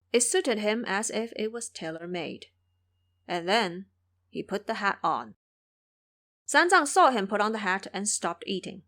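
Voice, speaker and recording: A woman's voice: medium pitch, a bit bright. A woman narrating a scripted fantasy tale as the storyteller of an audio drama.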